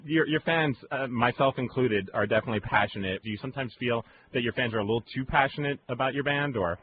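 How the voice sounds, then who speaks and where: A man's voice: mid-range voice, fast talker. A man hosting a casual radio interview, asking questions in an informal, chatty way. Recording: lo-fi phone-like radio recording, noisy.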